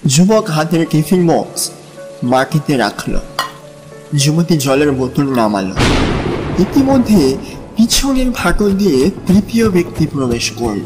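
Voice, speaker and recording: A man's voice: a little high, darkish tone. A man narrating an audio story in a dramatic, scripted reading style. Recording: long reverb over music. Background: music, rain.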